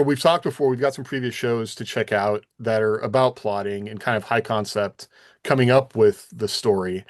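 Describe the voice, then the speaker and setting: A man's voice: mid-range voice, dry recording, studio quality. A man hosting a podcast in casual discussion, talking conversationally as he explains and asks questions. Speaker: podcast host.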